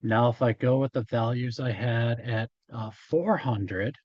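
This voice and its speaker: A man giving an informal statistics lecture as a college instructor, his voice a bit hoarse from talking, explaining in a halting way. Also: mid-range voice, dry recording, dull audio. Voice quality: slightly hoarse. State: hoarse after hours of talking. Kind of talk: informal statistics lecture, working through examples.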